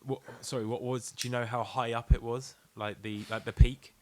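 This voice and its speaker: A man's voice: medium pitch, flat delivery, normal speed, slight background noise. A man co-hosting a podcast, casually reacting to a story with sympathetic, sweary interjections. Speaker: podcast co-host.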